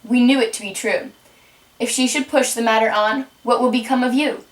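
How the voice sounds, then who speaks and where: A woman's voice: on the high side, fairly even intonation, moderate pace, natural voice. A woman voicing an officious, deferential rabbit herald in an amateur puppet show, reciting formally. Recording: roomy, distant microphone.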